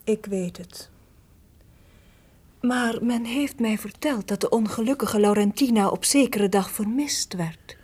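A woman's voice: fairly high pitch, a little slow, darkish tone, husky. An actress playing a proud, sorrowful captive young noblewoman in a formal, theatrical radio play, solemn and declamatory. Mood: earnest, guarded.

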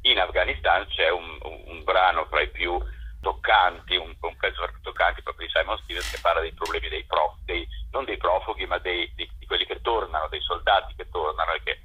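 Low husky man on a phone line. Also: steady tone, a little fast. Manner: hesitant, repeats words while searching. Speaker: theatre co-director, guest. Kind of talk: radio interview by phone. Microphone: telephone.

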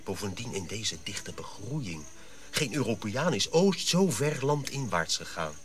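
An actor playing a dutiful, questioning Dutch colonial officer, earnest and precise, in a radio drama. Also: male voice, on the low side, sing-song, measured pace. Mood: concerned.

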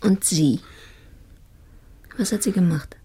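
An actress playing a provocative young woman in an audio drama, speaking in a breathy, intimate way. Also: fairly low pitch, slow pace, dark tone, exaggerated voice. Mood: teasing.

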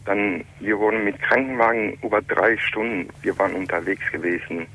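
A man in his late thirties from Iran, calling in to a radio talk show and telling his story in halting, German. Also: fairly low pitch, sharp tone, a little hiss or hum. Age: 30s. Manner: halting, plain non-native German. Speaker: caller. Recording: muffled telephone line.

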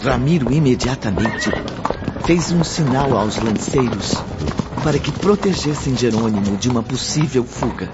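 A man's voice: mid-range voice, expressive, moderate pace. A man narrating a radio drama from a script. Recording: dull lo-fi audio under music.

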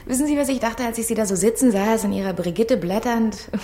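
A woman's voice: on the high side, quick pace, background noise, close to an everyday voice. An actress playing a rich businessman's sardonic, outspoken daughter in a radio crime drama, with a blunt, mocking way of talking. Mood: teasing, mocking.

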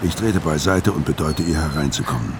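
A weary ex-convict in a radio crime drama, with an extremely low voice full of gravel. He is terse and guarded, telling his own story with understatement over noticeable background noise. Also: a little fast. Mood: guarded, subdued. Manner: laconic, understated. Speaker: narrator and lead character. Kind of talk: German radio crime drama, first-person narration and dialogue.

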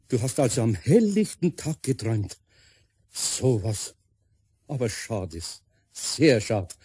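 A man's voice: medium pitch, warm tone, breathy edge, no echo, exaggerated voice. A man playing a good-natured, grumbly, bewildered older master carpenter with a Bavarian accent in a radio play.

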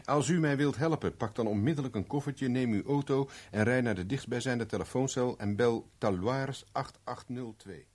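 An actor playing a wry, unruffled private investigator in a 1970s Dutch radio play, bantering casually with a sardonic edge. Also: male voice, mid-range voice, moderate pace, hardly any pauses, darkish tone, close to an everyday voice. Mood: urgent. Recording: noisy vintage radio drama recording.

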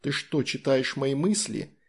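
A man's voice: mid-range voice, a little slow, creaky, slightly rough. A man voicing a bewildered boy adventurer in an audiobook, shaken, hesitant and incredulous.

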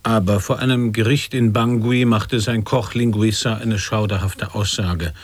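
A man playing a solemn narrator of absurd tales in an avant-garde radio play, measured, precise and formal. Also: lowish voice, fairly even intonation, natural voice. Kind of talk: scripted radio drama narration, sound poetry.

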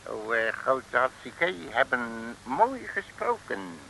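An actor playing a sly, cheeky detective in an old Dutch comic radio serial, talking slangy mock pidgin as a pretend mandarin. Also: male voice, medium pitch, sing-song, slow, creaky, sharp tone, a little hiss or hum, full character voice, low-quality recording. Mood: cheeky, scheming.

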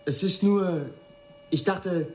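An actor playing a timid, obedient young assistant in a radio drama, hesitant and submissive. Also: male voice, high voice, slow pace, darkish tone, a little hiss or hum. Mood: anxious. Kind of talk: German radio drama acting.

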